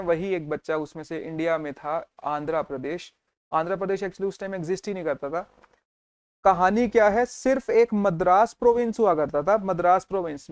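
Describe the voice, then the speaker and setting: A man lectures his students with a fairly high voice, recorded close and dry with no room sound. He tells the history as a story and keeps asking whether they follow. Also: darkish tone, dry recording. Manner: storytelling, mixes Hindi and English, checks in with students. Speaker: teacher. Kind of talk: exam revision lecture.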